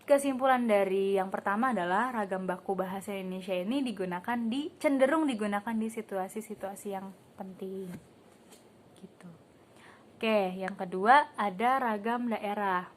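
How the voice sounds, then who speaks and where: A woman's voice: medium pitch, moderate pace, a little hiss or hum. A young woman student teacher giving a casual classroom lesson, quizzing the class and calling on students by name, with casual filler words. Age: young adult. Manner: asks the class questions and calls on students by name.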